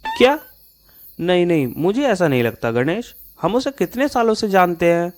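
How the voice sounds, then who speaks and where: A man's voice: high voice, big pitch swings, normal speed, darkish tone. A man playing a trusting, mild-mannered male neighbour in a Hindi moral-story cartoon. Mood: disbelieving. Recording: music behind the voice.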